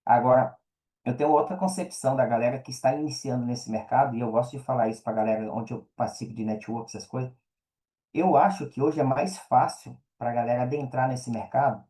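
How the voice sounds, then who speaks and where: A man's voice: medium pitch, warm tone. A man with a Brazilian Portuguese accent chatting casually as an interview guest, slangy and often checking that he is understood. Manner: slangy, peppers talk with check-in tags. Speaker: podcast guest, affiliate marketer.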